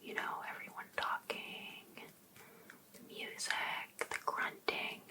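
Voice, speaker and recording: A woman's voice: close to an everyday voice, poor audio. A woman playing a sweetly pushy, possessive female life coach in an ASMR roleplay, coaxing one client in a whisper.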